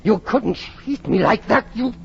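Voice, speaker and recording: A man's voice: high-pitched, unhurried, vocal fry. An actor playing a vengeful, mock-courteous Italian nobleman with gleeful cruelty, in the theatrical style of 1940s radio drama. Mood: frantic, cheated. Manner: sly, mock-friendly, theatrical. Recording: noisy, muffled 1949 radio broadcast.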